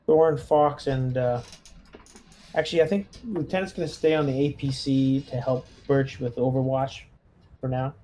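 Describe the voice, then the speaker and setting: Male, mellow mid-range voice, casual tabletop player organising a squad, faint background noise. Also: medium pitch, steady tone, normal speed. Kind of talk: casual game-session chat.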